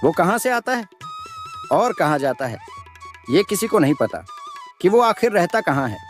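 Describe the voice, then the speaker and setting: A man's voice: high-pitched, big pitch swings, moderate pace, faint music behind. A man playing an anxious village headman in a cartoon story, explaining fearfully. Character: anxious village headman reporting a bandit's cruelty. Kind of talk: animated moral story dialogue.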